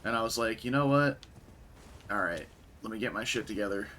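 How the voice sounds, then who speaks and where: A young man with a raspy voice from a concert the night before, casually commentating a game stream and chatting with viewers. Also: on the low side, brisk, slight background noise. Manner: relaxed, chatty, answers chat.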